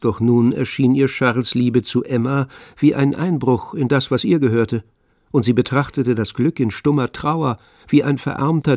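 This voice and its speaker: A man narrating a literary radio play in a measured, composed manner. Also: mid-range voice, darkish tone, dry recording, clean studio sound.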